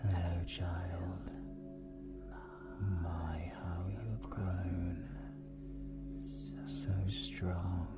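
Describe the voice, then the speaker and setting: A British man voicing an ancient, sinister demon lord in a fantasy audio roleplay, whispering with breathy, grandiose, possessive menace. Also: low-pitched, heavily acted.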